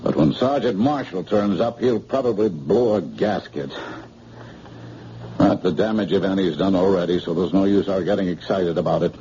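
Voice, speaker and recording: An actor playing a calm, brisk hospital doctor in a 1950s radio drama. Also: male voice, deep voice, a little hiss or hum, lightly acted. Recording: dull lo-fi old radio broadcast.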